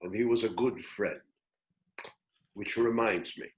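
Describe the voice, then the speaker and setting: A man playing a wise, gentle old hermit in a script reading, speaking in a measured, reflective, reassuring way. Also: lowish voice, steady tone, lightly acted. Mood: reminiscing. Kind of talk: script table read acting.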